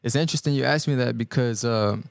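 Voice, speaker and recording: A young man born in El Salvador and raised in the US Midwest, a guest musician giving earnest, halting answers on a podcast, full of ums and restarts. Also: mid-range voice, gravelly, no echo, clean studio sound. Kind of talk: reflective podcast interview answers. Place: podcast studio.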